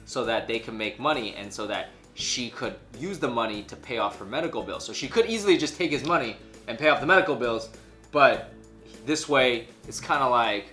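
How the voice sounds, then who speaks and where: A man co-hosts a casual chat show with a bright, forceful voice. His pitch moves around a lot as he retells a story in slang and acts out what people said. Music plays faintly behind him. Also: brisk. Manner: animated, slangy, acts out imagined quotes. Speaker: co-host of a news chat show. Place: small furnished room indoors, on a couch.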